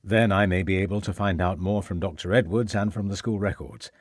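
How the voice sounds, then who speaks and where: A man's voice: sits low, fairly even intonation, brisk, no room sound, clean studio sound. A man voicing an earnest, composed male police inspector who speaks formally.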